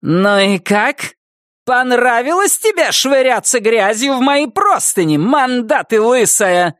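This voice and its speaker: A woman voicing a spiteful, furious middle-aged woman who threatens slowly and deliberately. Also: on the high side, lively intonation, no echo, studio quality, pitched above the performer's own voice. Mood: seething threatening. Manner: slow, deliberate, seething menace. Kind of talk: audiobook character voice.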